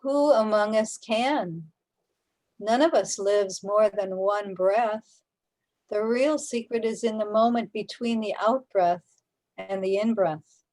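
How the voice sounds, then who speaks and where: A woman playing a calm, knowing afterlife guide, measured and explanatory, in a sci-fi radio drama. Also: medium pitch, lightly acted, poor audio. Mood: calm, reassuring.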